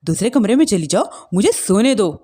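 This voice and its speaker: A woman's voice: mid-range voice, sing-song, a little fast, warm tone, husky. A woman voicing an irritated, tired young husband who grumbles and makes blunt demands. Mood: sleepy, irritated.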